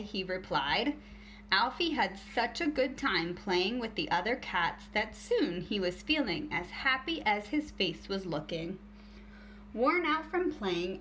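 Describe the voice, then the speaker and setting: A woman teacher reading a picture book aloud to young children in an expressive, deliberate storytelling way. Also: mid-range voice, a little hiss or hum.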